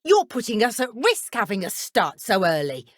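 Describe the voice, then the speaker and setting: A woman voicing a blunt, suspicious dark witch henchwoman in a video game, with a British accent and a needling, confrontational manner. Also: medium pitch, huge pitch swings, normal speed, dry recording, clean studio sound. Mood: accusing, stubborn.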